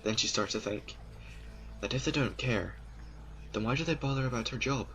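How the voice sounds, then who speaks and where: A man narrating a fan audio drama in a deliberate, ominous manner, sometimes dropping toward a whisper. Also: medium pitch, fairly bright tone, slight background noise, rough recording. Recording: music playing behind.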